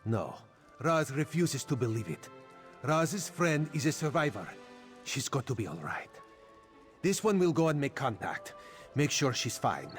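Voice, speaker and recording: A man's voice: medium pitch, sing-song, measured pace, soft background music. A man playing a wily, wry cat-man spy in a fantasy game, speaking theatrically and calling himself by name. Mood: worried, resolute. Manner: theatrical, refers to self in third person. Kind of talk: video game character dialogue.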